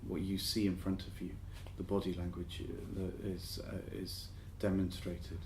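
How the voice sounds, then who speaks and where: A man on a panel, speaking in a measured way with hesitant ums. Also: low voice, little pitch movement, a little mellow. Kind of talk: panel discussion. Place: university lecture room. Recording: echoey room, faint noise.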